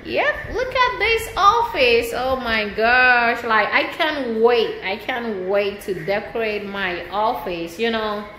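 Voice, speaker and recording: A woman's voice: a little high, slight background noise, rough recording. A woman vlogger showing her nearly empty apartment on a handheld phone, talking casually and laughing between lines. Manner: slow, relaxed, laughs often between lines. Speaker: vlogger, service member. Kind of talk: casual home tour vlog. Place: small empty apartment, indoors. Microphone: handheld phone camera, speaker off-screen.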